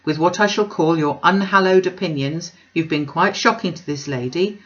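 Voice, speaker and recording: A woman's voice: lowish voice, moderate pace, a bit bright, dull audio, lightly acted. A woman voicing a pompous, self-satisfied wealthy man, emphatic and dismissive, in a storybook reading. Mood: scolding.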